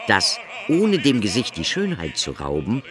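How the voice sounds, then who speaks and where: A grave, theatrical narrator of a gothic radio play. The voice is very deep and moves slowly, with wide, dramatic pitch movement, over music. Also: male voice, wildly swooping pitch. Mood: grave fascination. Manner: deliberate, theatrical.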